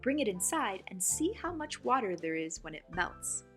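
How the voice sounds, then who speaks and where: A woman reading a picture book aloud for young children, with expressive, clearly phrased delivery as the host. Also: medium pitch, normal speed, music in the background. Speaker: children's story reader and host.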